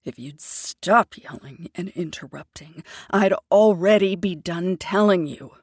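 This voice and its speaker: A woman voicing a young man, a grave brother who speaks low and soothingly, in an audiobook. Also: very slow, creaky, breathy edge, no echo, heavily acted.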